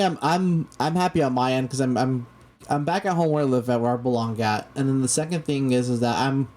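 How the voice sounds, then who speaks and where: A man's voice: mid-range voice, darkish tone. A man in his late twenties chatting on a casual talk show. He rambles, circles back and restarts his sentences, but keeps an easygoing, conversational flow. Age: 20s. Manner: rambling, with many restarts and fillers. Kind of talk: casual chat.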